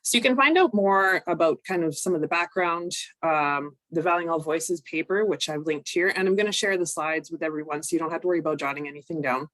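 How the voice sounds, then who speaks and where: A woman, recovering from a cold, presenting an online training session in a measured, explanatory way with frequent ums. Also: sits low, fairly bright tone, dry recording. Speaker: workshop presenter.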